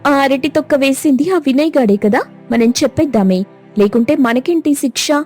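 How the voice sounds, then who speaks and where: A woman's voice: high-pitched, moderate pace, a little mellow, faint music behind, voice pushed up, close to an everyday voice. A woman voicing an indignant young schoolgirl who complains about her teacher. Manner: complaining, whiny outbursts. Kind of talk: cartoon voice acting.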